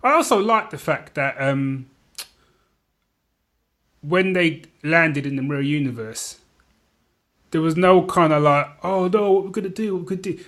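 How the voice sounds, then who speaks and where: Animated, slightly high-voiced man chatting. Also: normal speed, pauses often. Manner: animated retelling, slangy, peppered with "you know what I mean". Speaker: podcast co-host. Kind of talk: casual chat about TV shows.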